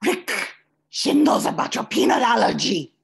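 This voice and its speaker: A woman's voice: fairly high pitch, sing-song, moderate pace, gravelly, breathy edge, exaggerated voice. A woman playing a noble, melodramatic lost love in an amateur space-noir radio play. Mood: pleading.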